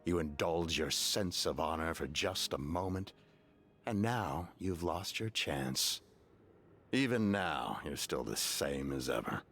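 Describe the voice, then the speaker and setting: A mocking, menacing villain in a video game. He speaks slowly in a low, smug voice that rises and dips theatrically as he taunts. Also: animated, a bit bright, a little hiss or hum, exaggerated voice. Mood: smug, taunting. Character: mocking, menacing male villain possessing another's body.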